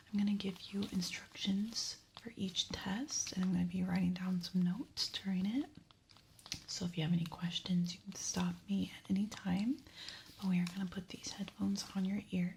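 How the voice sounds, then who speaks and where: A woman whispers softly in a breathy, unvoiced voice, guiding a listener through an ASMR exam roleplay. Her manner is gentle and reassuring, with some noise and small clicks in the recording. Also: medium pitch. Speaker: ASMR host playing a hearing examiner.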